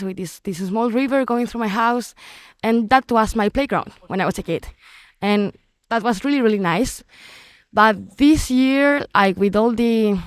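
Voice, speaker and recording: A woman's voice: mid-range voice, clear, resonant tone, no echo. A woman, speaking English as an as an interview guest, earnest and sometimes searching for words. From Chile. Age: teens. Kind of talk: casual interview.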